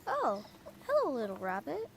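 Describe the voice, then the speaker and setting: A woman as a lively, childlike young boy. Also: high voice, moderate pace, slight background noise, exaggerated voice. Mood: excited. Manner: childlike, plaintive. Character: young boy, sulky but eager son. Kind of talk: audio drama acting.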